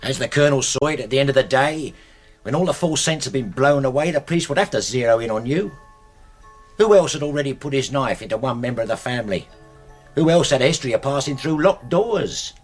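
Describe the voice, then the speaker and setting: A man plays a British manservant in a radio drama, his voice husky at a middling pitch. He is deferential and plain-spoken, a loyal soldierly type who says terrible things coolly. Faint music runs beneath. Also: expressive, a little fast, natural voice. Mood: matter-of-fact. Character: deferential male servant, loyal ex-soldier, coolly confessing murder.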